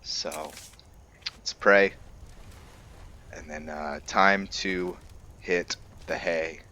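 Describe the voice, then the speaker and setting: Deep-voiced, unhurried male gamer. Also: steady tone, sharp tone. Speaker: gaming video host and player. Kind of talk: casual let's-play roleplay commentary.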